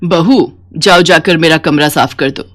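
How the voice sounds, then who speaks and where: A woman's voice: low voice, a little slow, fairly bright tone, a little hiss or hum. A woman voicing a cruel mother-in-law who scolds in a harsh, bullying, commanding way for a moral story. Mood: commanding, scornful.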